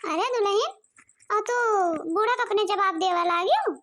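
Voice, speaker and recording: A woman's voice: very high-pitched, expressive, moderate pace, fairly bright tone, a little hiss or hum. A woman voicing a bossy, complaining elderly village mother-in-law in cartoon comedy, with an Awadhi accent. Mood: indignant. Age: older.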